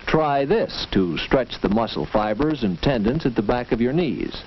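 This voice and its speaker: A man's voice: medium pitch, lively intonation, normal speed, highs cut off. An American man narrating a 1940s instructional film from a script, in a didactic storytelling manner. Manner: didactic, rhetorical storytelling delivery. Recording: dated 1940s film soundtrack, band-limited, noisy.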